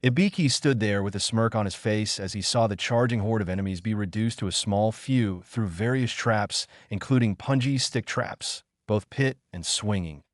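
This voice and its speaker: A man's voice: lowish voice, normal speed, hardly any pauses, no echo, studio quality. A man reading a scripted action story aloud as narrator. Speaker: narrator of fan fiction story videos.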